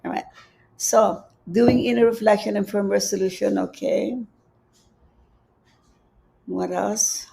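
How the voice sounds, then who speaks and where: A woman with a Filipino accent giving a gentle, repetitive spiritual teaching on a livestream, reading out viewer comments. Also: mid-range voice, fairly even intonation, slow pace, creaky, pauses often, husky. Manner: gentle, repeats phrases, reads viewer comments aloud. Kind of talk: live-streamed spiritual teaching. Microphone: livestream setup.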